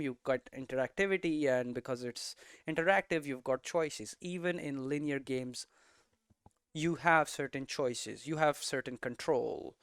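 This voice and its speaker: A man giving an informal explanatory lesson as a presenter, posing questions and answering them himself in halting, emphatic phrases. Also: mid-range voice, smooth, dry recording, clean studio sound. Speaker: presenter of a game development explainer series.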